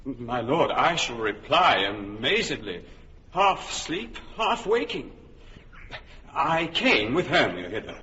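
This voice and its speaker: A half-awake young lover with a bright, lively voice that halts as he explains himself. The recording is muffled, like old radio. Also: medium pitch, long reverb tail, exaggerated voice. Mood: dazed. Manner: dazed, halting. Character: Lysander, dazed young lover.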